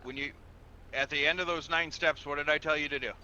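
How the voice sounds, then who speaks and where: A man's voice: a little high, steady tone, quick pace, pushed and bright, highs cut off, a little hiss or hum. A man roleplaying a patient, methodical police officer in an online game, giving firm, polite, procedural instructions.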